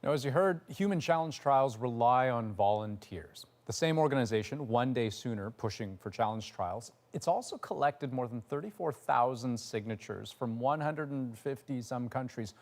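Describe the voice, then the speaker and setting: A male news anchor giving scripted lead-ins in a crisp, even newsreading manner. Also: medium pitch, moderate pace, hardly any pauses.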